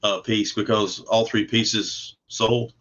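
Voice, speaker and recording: A man's voice: fairly low pitch, flat delivery, takes it slowly, a bit bright. Earnest man on dull lo-fi audio. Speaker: comic book creator, podcast guest. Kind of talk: casual interview chat. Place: remote video call. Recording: dull, lo-fi video call audio.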